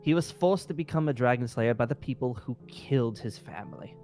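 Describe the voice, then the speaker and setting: A man's voice: medium pitch, lively intonation, brisk, warm tone, faint music behind, natural voice. A man playing a skeptical, stubborn, centuries-old dragon slayer in an improvised fantasy game roleplay. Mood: measured, explaining. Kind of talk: improvised Minecraft roleplay acting.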